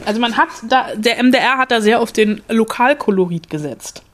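A woman's voice: on the high side, fairly quick. A woman co-hosting a podcast, chatting casually about a series, rambling with lots of fillers and self-corrections. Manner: rambling with frequent fillers and restarts. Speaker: film critic podcast co-host. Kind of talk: casual chat, reviewing a series.

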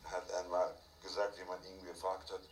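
A man's voice: deep voice, monotone, a little fast. A man chatting in a rambling, anecdotal way, recorded at a distance in an echoey room on a noisy archive tape. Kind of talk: casual chat, anecdotal.

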